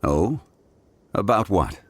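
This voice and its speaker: An actor playing a calm, dignified ancient god in a video game, speaking in a measured, formal, grave manner. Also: male voice, on the low side, a little hiss or hum. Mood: gently courteous. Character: ancient god in human form, calm, solemn, dignified man. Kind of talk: video game character acting.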